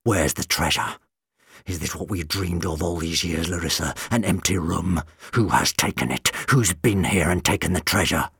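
A man's voice: deep voice, unhurried, sharp tone, husky, no echo, studio quality, full character voice. A man voicing a furious, pompous male villain in a storybook adventure, speaking in a demanding manner. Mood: furious, confused.

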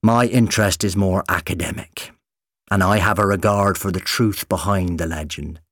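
A man voicing a serious, scholarly uncle with a measured, moralising way of talking, in an audiobook story. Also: sits low, steady tone, fairly bright tone, dry recording, studio quality. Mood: grave. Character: sober, principled uncle with a scholarly interest.

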